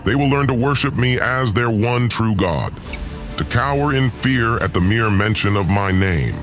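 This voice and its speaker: A man's voice: on the low side, normal speed, fairly bright tone, faint music behind, pitched above the performer's own voice, lightly acted. A man playing an imperious, gloating alien lord in an audio drama, with a pompous, commanding and menacing delivery. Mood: gloating, grandiose. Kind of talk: first-person audio drama villain.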